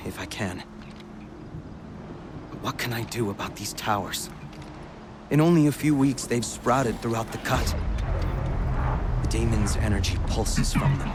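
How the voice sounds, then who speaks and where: Guy doing a grave earnest tribesman, low-ish voice, game music behind. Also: natural voice. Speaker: game character.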